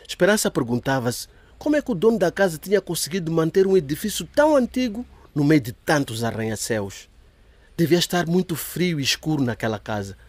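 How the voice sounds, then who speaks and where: A man narrating a scripted audio drama in a lively storytelling manner. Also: mid-range voice, dry recording.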